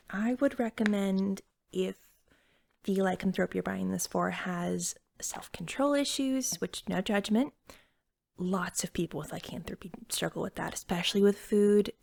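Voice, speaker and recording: A woman doing soft-spoken ASMR roleplay as a helpful shop cashier, gentle, breathy and chatty, sometimes slipping toward a whisper. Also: on the low side, a little hiss or hum. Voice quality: soft, breathy, with slight fry. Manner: gentle, unhurried, chatty shop-clerk patter. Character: eager, helpful young female pet store cashier.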